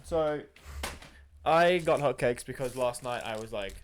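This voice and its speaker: A man's voice: medium pitch, a little slow, slight background noise. Man hosting a casual podcast. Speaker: podcast host.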